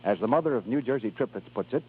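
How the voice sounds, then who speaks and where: Crisp, lively male announcer on old radio. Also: medium pitch, fast talker. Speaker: commercial announcer. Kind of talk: 1930s radio advertising, scripted. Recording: tinny 1930s radio recording.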